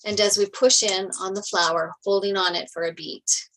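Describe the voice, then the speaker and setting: A woman's voice: medium pitch, fairly even intonation, normal speed, fairly bright tone, low-quality recording. A woman reading stage directions as narrator in a table read of a TV script.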